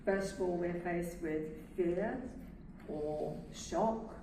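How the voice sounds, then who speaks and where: A woman with a soft voice and an English accent giving an earnest, reflective personal testimony at an event. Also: fairly low pitch, slow pace. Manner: earnest and reflective, speaks from faith. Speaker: carer speaking at a carers' event. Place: event hall. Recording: echoey hall, distant mic, room noise.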